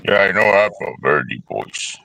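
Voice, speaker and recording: A man's voice: low-pitched, lively intonation, a little fast, creaky, sharp tone, slight background noise, poor audio. A man streaming from a city street in casual chat, with a brash, taunting manner, recorded on a phone. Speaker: stream guest.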